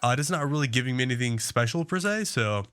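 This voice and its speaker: A man's voice: medium pitch, expressive, quick pace, a bit bright, clear, resonant tone, dry recording. A man casually commenting on his gameplay as a video host, rambling with many fillers and restarts, his nose a bit congested.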